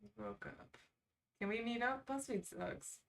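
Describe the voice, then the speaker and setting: A woman's voice: on the high side, unhurried, vocal fry, recorded from a distance, slight background noise. A woman hosting a casual livestream, chatting informally and reacting off the cuff with sarcastic asides. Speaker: livestream host. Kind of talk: casual livestream chat, reading quizzes aloud.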